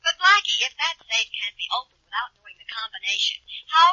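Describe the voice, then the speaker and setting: An actress playing a detective's wry, anxious girlfriend, quick and pointed, in 1940s radio drama. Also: on the high side, expressive, pushed and bright, dry recording. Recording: dull, dry old radio recording.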